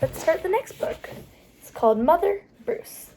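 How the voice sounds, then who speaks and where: A woman reading picture books aloud to young children as a storytime host, animated and pausing to explain things to the listeners. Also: very high voice, noisy background. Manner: animated, explains pictures and words as she goes.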